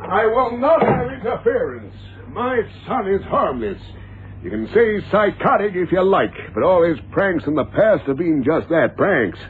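Stubborn indignant dad, highish voice going up and down a lot, old tinny radio drama. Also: male voice, sing-song, a little slow. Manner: insistent, indignant. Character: stubborn, defiant father, a newly married man. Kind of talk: 1970s radio drama acting. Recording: tinny, narrow-band, noisy old radio recording.

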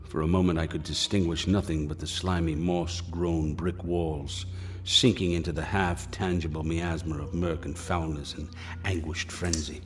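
An actor playing a grave, haunted gentleman investigator, narrating a gothic horror radio drama in formal, theatrical language with halting dramatic pauses. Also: low-pitched. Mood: grim dread, then hushed horror. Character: horror-struck gentleman investigator recounting a descent into madness. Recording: music behind the voice. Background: music.

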